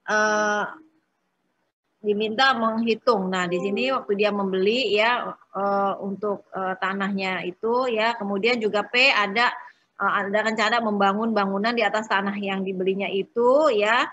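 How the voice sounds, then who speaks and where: A woman working through exercises as an accounting instructor in an informal step-by-step lecture, often pausing and adding short check-in tags. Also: mid-range voice, fairly bright tone, husky, no echo, dull audio.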